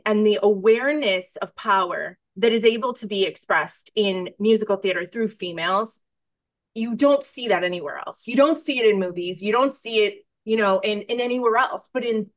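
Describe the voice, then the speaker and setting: A woman's voice: medium pitch, a bit bright. A woman hosting a casual podcast interview, talking in an effusive, emphatic way and rambling off on tangents. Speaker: podcast host.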